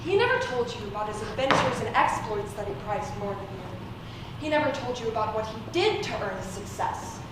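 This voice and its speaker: A woman's voice: fairly high pitch, lively intonation, brisk, natural voice. A woman playing a proud, wronged magical fairy with theatrical, declamatory delivery in an amateur stage drama. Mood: bitter, furious. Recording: echoey room from far off, noisy.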